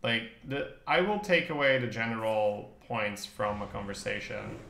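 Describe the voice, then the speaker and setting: A man's voice: fairly low pitch, normal speed, creaky, fairly bright tone, reverberant, a little hiss or hum. A man from northern Belgium whose native language is Dutch, chatting casually and thinking aloud with some swearing as he streams a game. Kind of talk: casual live game commentary, chatting with viewers.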